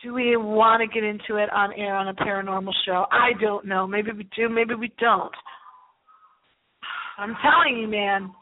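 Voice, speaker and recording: A woman's voice: medium pitch, steady tone, moderate pace. A woman hosting a paranormal radio show, rambling casually and chattily with a theatrical, dramatic delivery. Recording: thin, phone-like radio call-in audio.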